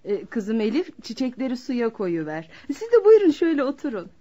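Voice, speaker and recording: A woman's voice: a little high, sing-song, fairly quick, mellow. An actress playing a homely middle-aged mother in a Turkish radio play, hospitable and bustling around her guests. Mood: welcoming, bustling. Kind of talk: Turkish radio theater acting. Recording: muffled lo-fi radio drama recording.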